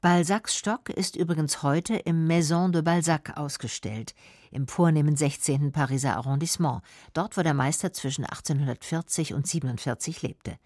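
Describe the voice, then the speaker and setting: A woman narrates a radio feature in diction. Her voice sits low and is quite husky. The sound is close and very dry, with no room around it. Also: moderate pace, a little mellow, no room sound. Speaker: documentary narrator.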